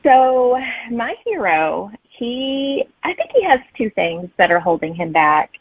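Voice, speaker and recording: A woman's voice: fairly high pitch, big pitch swings, takes it slowly, slightly rough, dry recording, phone-quality sound. A woman chatting casually as an author being interviewed, heard over a thin remote connection.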